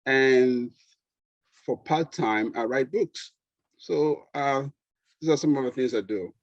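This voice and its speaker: A middle-aged man from Nigeria with a Nigerian accent, a pediatrician guest talking relaxed, with fillers and easy laughs. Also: mid-range voice, slow pace, dry recording, dull audio. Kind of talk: conversational interview.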